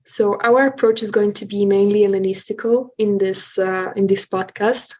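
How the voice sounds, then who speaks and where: A woman's voice: mid-range voice, a little mellow, poor audio. Swiss-woman co-hosting a podcast. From Italian-speaking Switzerland. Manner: earnest, explanatory, with some hesitations. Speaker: podcast co-host. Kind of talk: casual podcast chat.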